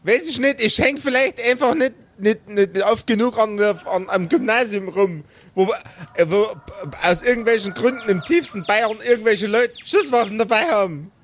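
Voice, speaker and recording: A man acts out a flustered investigator for an improvised comedy audio play. The voice sits very high for a man. He stammers in agitation and keeps scolding his partner. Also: normal speed, lightly acted. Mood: flustered, exasperated.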